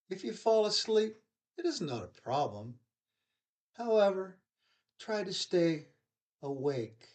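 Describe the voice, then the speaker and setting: A man leading a guided yoga nidra relaxation, giving soothing, unhurried instructions with pauses. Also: medium pitch.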